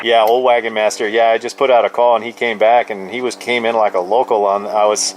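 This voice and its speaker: A man's voice: on the low side, fairly quick. An American man in his fifties chatting casually as a radio hobbyist, using radio jargon and acknowledgements. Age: 50s. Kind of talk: casual two-way radio chat. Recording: noisy radio session with steady hiss.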